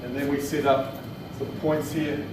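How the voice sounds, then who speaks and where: A man with a New Zealand accent presents technical training in an informal, plain-spoken way. He is picked up from far off in a very reverberant room, with clear noise behind him. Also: on the high side, level tone, unhurried. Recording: echoey room, distant mic, noisy.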